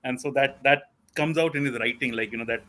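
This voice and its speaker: A man speaking as a guest in a casual panel discussion, animated and full of "you know". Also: medium pitch, fairly quick.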